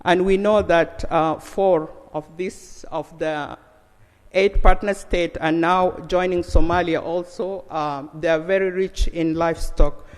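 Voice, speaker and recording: A man's voice: on the high side, fairly even intonation, clear, resonant tone. A man from South Sudan, a member of parliament, formally reading out a motion and then speaking plainly with frequent hesitations. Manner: measured, with frequent "uh" fillers. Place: large legislative chamber. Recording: long reverb of a large chamber.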